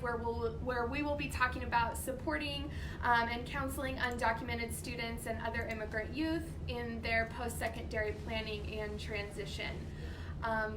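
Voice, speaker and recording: A woman with a high voice hosts a live online Q&A, friendly and a bit halting, with frequent ums and restarts. She speaks over a video call with clear noise behind her. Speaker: host. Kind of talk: live-stream moderator. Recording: noticeable background noise.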